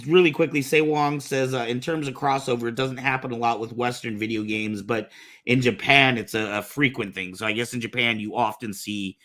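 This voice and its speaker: A man's voice: mid-range voice, fairly even intonation, brisk, a bit bright. A man hosting a casual nerd-culture discussion, opinionated and stammering, often repeating words as he gets his point out. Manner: swears casually. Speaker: podcast host.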